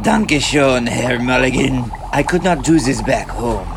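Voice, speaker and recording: A man's voice: mid-range voice, expressive, noisy background. A man playing an eccentric, genial German inventor and barber in a comedic audio drama, with a thick theatrical delivery and German accent. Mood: genial, grandiose. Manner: halting, theatrical, exclaiming.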